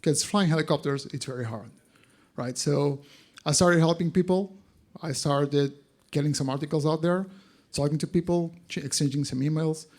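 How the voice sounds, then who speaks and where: A man's voice: a little high, moderate pace, a little mellow. A man with a Portuguese accent giving an informal live presentation, talking directly to his audience in a conversational way. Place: in front of an audience at an expo.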